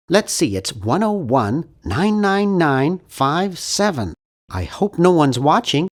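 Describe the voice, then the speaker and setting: An actor playing a nerdy, earnest, awkward young man in an adventure game, with a geeky, fussy, wryly polite delivery. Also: a little high. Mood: matter-of-fact, fussy, then dry, self-conscious. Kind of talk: scripted video game voice lines.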